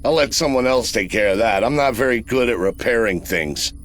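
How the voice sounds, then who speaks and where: A man's voice: medium pitch, animated, brisk, soft background music, close to an everyday voice. A man playing a cocky, wisecracking mercenary field commander in a video game, talking in a flippant, casual, self-assured way. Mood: wry, casual. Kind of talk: video game cutscene acting.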